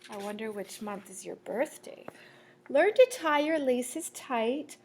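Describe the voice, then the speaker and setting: A woman with a high voice reads aloud to children at a slow, deliberate pace. She has the manner of a teacher sharing a picture book, giving the lines a sing-song, storytelling shape. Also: lively intonation, slight background noise. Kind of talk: children's read-aloud storytelling with asides to listeners.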